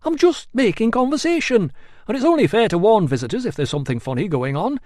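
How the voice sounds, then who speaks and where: A man voicing a chatty, nosy male barber who talks in rough, colloquial grammar. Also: high voice, sing-song, fast, darkish tone, no room sound, studio quality, voice pushed up. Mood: gossipy. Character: chatty gossiping male barber, middle-aged.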